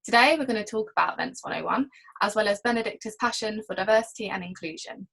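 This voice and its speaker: Woman, mid-pitched voice, reporter interviewing, hosting on a video call. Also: fast, vocal fry, a bit bright. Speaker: interviewer, trade magazine reporter.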